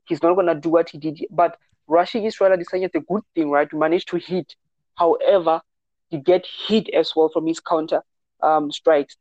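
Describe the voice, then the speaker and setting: A man's voice: on the high side, steady tone, brisk, darkish tone, no echo, highs cut off. A man co-hosting a casual MMA podcast over a remote call, easygoing, with frequent "yeah" and "um". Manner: easygoing, says "yeah" and "um" often. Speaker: podcast co-host.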